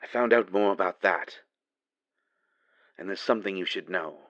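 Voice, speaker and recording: Man as a dull-sounding, low, urgent ally. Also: normal speed, a bit bright, no echo. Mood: grave warning. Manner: urgent, secretive, dramatic. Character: urgent, worried male ally delivering a grave warning. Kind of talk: scripted audio roleplay, phone message monologue.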